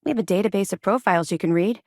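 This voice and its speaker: A woman's voice: mid-range voice, racing, a little mellow, dry recording, clean studio sound, lightly acted. An actress playing a tough, driven female military officer in a video game, speaking in a firm, businesslike, clipped way.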